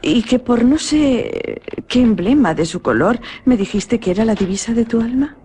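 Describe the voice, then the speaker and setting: A woman's voice: on the high side, animated, normal speed, warm tone, slightly rough. An actress with a Spanish accent from Spain playing a playful, coolly ironic young noblewoman in a radio drama. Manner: playful, mocking.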